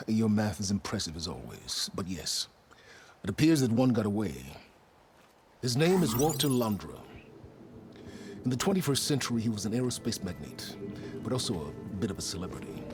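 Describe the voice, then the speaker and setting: Aloof scheming scholar dude, deep-ish voice, lots of swing in the pitch, formal and sardonic, video game scene. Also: male voice, sing-song, moderate pace, pauses often, over music. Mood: wry, grave. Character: calculating, aloof older male scholar-strategist giving a briefing. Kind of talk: video game cinematic dialogue.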